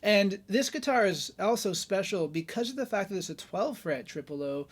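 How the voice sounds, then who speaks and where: A man's voice: high voice, lively intonation, moderate pace. A man hosting a casual guitar review, chatty and enthusiastic, with lots of fillers and tag questions.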